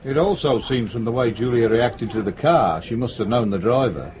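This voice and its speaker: An actor playing a deferential junior police detective in a radio drama. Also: male voice, medium pitch, normal speed, a little mellow, a little hiss or hum, close to an everyday voice. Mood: dutiful. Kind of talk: British radio drama acting.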